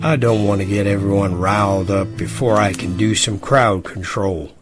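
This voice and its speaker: A man playing a calm, cautious camp leader in an audio drama, measured and authoritative. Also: on the low side, over music, natural voice. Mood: calm, commanding.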